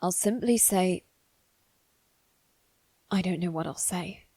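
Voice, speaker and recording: Woman doing a guarded posh-mannered noble boy for asmr roleplay, really slow, very dry close sound. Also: fairly low pitch, warm tone, no room sound. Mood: urgent, conflicted. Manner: measured, deliberate, formal phrasing. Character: guarded, haughty young aristocratic boy seeking revenge. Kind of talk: ASMR roleplay, one-sided scripted dialogue.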